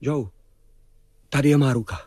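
A man's voice: medium pitch, mellow, husky, dry recording, studio quality, natural voice. A man playing a brave, loyal young sailor in a dramatised story, firm and protective in manner. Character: brave, loyal young male sailor defending his friend.

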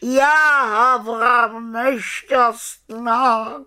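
A woman's voice: fairly high pitch, steady tone, pushed and bright, pitched above the performer's own voice. A woman voicing a remorseful, devoted talking raven in a storybook reading. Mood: anguished. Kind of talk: storybook character voice.

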